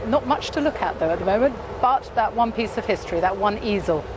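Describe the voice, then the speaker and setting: A woman's voice: mid-range voice, animated, a little fast. A woman anchoring a live news broadcast as presenter, speaking outdoors. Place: outdoors outside a palace. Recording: outdoor broadcast with clear background noise.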